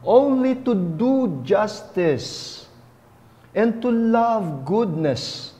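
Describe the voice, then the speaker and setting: Man, middle-aged, homily, deliberate teaching tone, lapel mic, reverberant chapel. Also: sits high, lively intonation, dark tone. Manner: slow, deliberate, teaching tone with rising emphasis. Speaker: bishop giving a homily. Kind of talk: sermon. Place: chapel, indoors, at a lectern.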